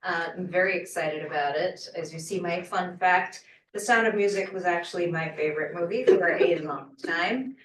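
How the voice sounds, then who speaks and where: A woman's voice: on the low side, moderate pace, fairly bright tone, slight background noise. A woman from Portugal, a French professor, introducing herself at an info session in a chatty, hesitant way with fillers. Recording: echoey room, distant mic.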